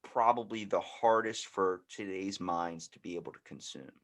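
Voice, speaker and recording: A man's voice: low-pitched, moderate pace. Young American man telling stories casually. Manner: rambling, animated retelling with acted-out quotes. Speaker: podcast host. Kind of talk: casual storytelling chat.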